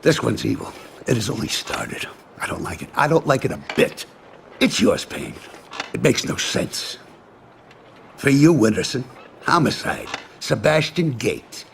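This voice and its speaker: Gruff, gravelly police boss. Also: male voice, medium pitch, very animated, a little slow, slight background noise. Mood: stern, displeased. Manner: blunt, clipped. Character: gruff, exasperated male police superior briefing detectives. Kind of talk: noir game cutscene dialogue.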